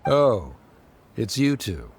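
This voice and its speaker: A man voicing a proud middle-aged scholar and father in a video game, formal, measured and reserved but quietly caring. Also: on the low side, sing-song, slight background noise, full character voice. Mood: reserved, reflective.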